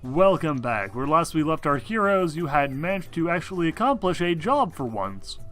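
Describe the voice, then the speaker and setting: A man acting as game master, narrating a tabletop role-playing game in an informal, halting way. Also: a little high, very animated, a bit bright. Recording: music behind the voice.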